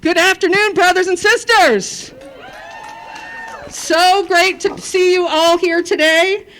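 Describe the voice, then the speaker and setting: A woman's voice: very high-pitched, steady tone, slow pace, fairly bright tone, some chatter behind, a little hiss or hum, rough recording. A woman in her fifties from New Hampshire, telling her personal story in an earnest speech at a rally. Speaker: rally speaker.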